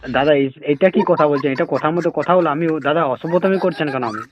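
Fast, high-pitched West Bengal man prank calling. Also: background noise. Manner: insistent, repetitive, feigned innocence. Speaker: prank caller. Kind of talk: comic prank phone call as a salesman. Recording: muffled, lo-fi audio.

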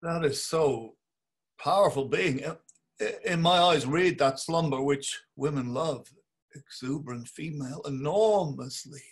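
A man's voice: fairly high pitch, unhurried, breathy edge. An actor playing a meek, grovelling middle-aged man, pleading and theatrical, in a dramatic reading over a video call. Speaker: stage actor.

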